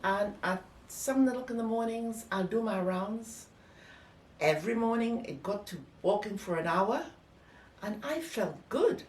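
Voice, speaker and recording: A woman's voice: mid-range voice, animated, measured pace, darkish tone. An older woman telling personal memories in an interview, emphatically, often acting out what was said. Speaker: interviewee, former nurse. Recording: echoey room, distant mic, faint noise.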